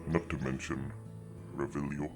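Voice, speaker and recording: A man voicing a courtly, gruff but teasing dragon with formal, stately phrasing in a fantasy audio drama. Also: rumbling low, level tone, a little slow, music in the background. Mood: grave.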